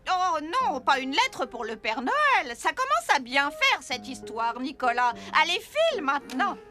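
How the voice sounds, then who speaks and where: Swooping, irritated stern matron. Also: female voice, high voice, big pitch swings, unhurried, sharp tone, full character voice. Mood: exasperated. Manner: scolding, exaggerated. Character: irritated, stern woman in charge of children. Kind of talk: French children's cartoon dubbing.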